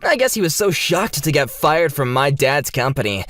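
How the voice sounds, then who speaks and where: A woman's voice: low-pitched, sing-song, moderate pace, a bit bright, soft background music. Woman voicing a smug, taunting schoolboy bully. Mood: mocking. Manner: mocking, smug. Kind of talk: manga dub voice acting.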